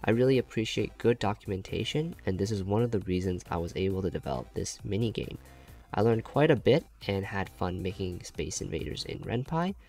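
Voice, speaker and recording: A man with a fairly low voice and a noticeable creak reads a scripted tutorial in the role of a game developer presenter. He is recorded as a close voice-over, with soft music playing behind him. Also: expressive, brisk. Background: faint background music.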